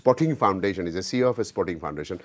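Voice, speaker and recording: A man hosting an interview, firing brisk questions and mixing two languages. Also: low-pitched, animated. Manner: brisk questioning, mixes Bengali and English. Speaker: host.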